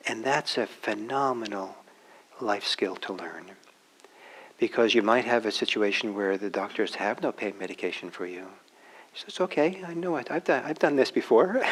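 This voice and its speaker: An older man, a soft-spoken meditation teacher, answering a question in a gentle, halting way with many restarts. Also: on the low side, rough recording. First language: German. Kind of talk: dharma talk answering questions. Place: meditation hall with a group.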